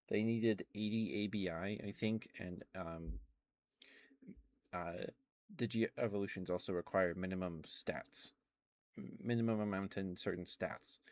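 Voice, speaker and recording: A man chatting quietly about video games for an ASMR stream, hesitant and rambling with many pauses and soft laughs. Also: low-pitched. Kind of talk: rambling ASMR game chat.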